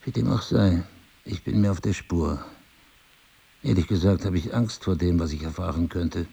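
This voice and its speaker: Casting: sardonic, suspicious amnesiac husband for audio drama, gravelly, mellow-dark delivery, articulate and probing. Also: male voice, low voice, normal speed, exaggerated voice. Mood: pensive, uneasy. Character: brooding amnesiac husband, a sharp-witted, suspicious crime writer. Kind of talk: intimate audio drama dialogue.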